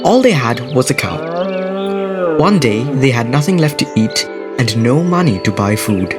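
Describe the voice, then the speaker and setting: Animated male children's storyteller. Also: fairly high pitch, measured pace. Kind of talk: scripted children's story narration. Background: music.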